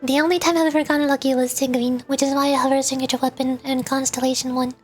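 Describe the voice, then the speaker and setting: A woman with a notably high voice chats to her livestream viewers. She talks in a loose, rambling, playful way, as a gaming host does. Faint music plays behind her. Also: level tone, fast, a little mellow, husky. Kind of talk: casual chat to stream viewers while gaming. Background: background music.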